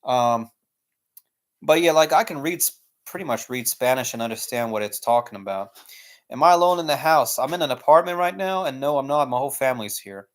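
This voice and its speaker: Young American man streamer, easygoing, rambling, lots of fillers, mid-pitched. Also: animated, brisk. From United States. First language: English. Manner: rambling, filler-heavy, laid-back, says "man" often. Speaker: live streamer. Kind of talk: casual live-stream chat reading viewer questions.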